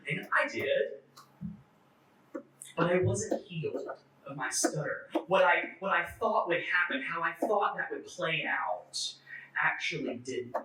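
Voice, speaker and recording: Male clergy speaker, fairly high bright voice, slight stutter, measured phrasing, personal testimony sermon delivered in a roomy space. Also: expressive, slight background noise. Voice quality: stutter, occasional broken fluency. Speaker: clergy member giving a talk. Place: echoey indoor church forum space. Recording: echoey room, distant microphone.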